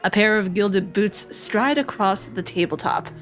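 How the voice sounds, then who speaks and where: A playful woman with a mid-range voice reads a visual novel's story text aloud, then drops into joking commentary and laughs. Game music plays clearly behind her. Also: medium pitch, measured pace. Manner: playful, joking asides between narrated passages, frequent laughs. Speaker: let's play host.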